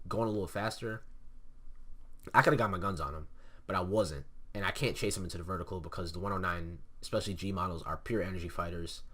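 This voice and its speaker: A man's voice: low voice, a bit bright. A young man with a stuffy nose, casually explaining flight tactics over replayed gameplay footage, with many fillers. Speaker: gameplay commentator.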